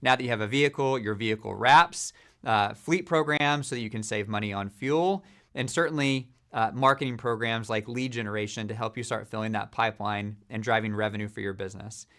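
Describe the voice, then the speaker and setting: Steady businesslike man explaining programs. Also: on the low side, brisk. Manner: steady, businesslike, with frequent "uh" fillers. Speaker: company leader presenting a supplier program. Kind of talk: corporate explainer talk.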